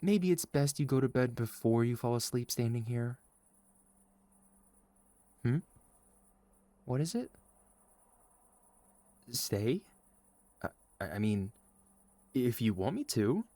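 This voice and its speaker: A man acts out a sweet boyfriend in an intimate ASMR roleplay. His delivery is slow and soft, reassuring and tender with a playful, teasing streak. His mid-pitched voice sits in a very dry, echo-free sound. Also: sing-song. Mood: affectionate, playful. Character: sweet, caring, gently teasing boyfriend comforting an anxious partner.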